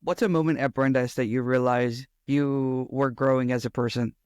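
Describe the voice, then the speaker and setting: A man's voice: medium pitch, steady tone, normal speed, a little mellow, smooth, no room sound, studio quality. Easygoing young man, host. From Arizona, US. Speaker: podcast host. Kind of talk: casual conversation.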